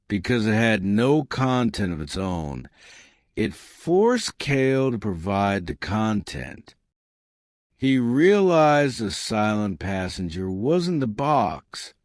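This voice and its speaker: A man narrating a scripted dramatic story in a solemn, deliberate manner. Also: on the low side, no echo, studio quality.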